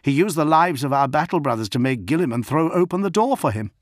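A man voicing a deferential, anxious lieutenant in an audiobook, urgent, hurried and formal. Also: fairly high pitch, animated, dry recording, clean studio sound, voice pushed up, natural voice. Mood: grim reporting. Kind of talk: dramatic audiobook character.

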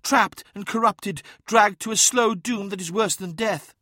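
Male narrator as tormented seer in a trance, strained high, hissing and breathless, dry close sound. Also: unhurried, bright, forceful tone, dry recording, full character voice. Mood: anguished trance. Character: possessed psychic warrior, hissing and gasping in torment.

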